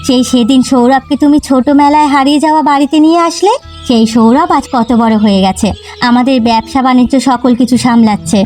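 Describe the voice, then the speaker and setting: A woman's voice: high voice, steady tone, quick pace, a little mellow, soft background music, natural voice. A woman voicing an emotional, devoted village mother in a cartoon. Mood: fond reminiscence. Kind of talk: cartoon dub acting.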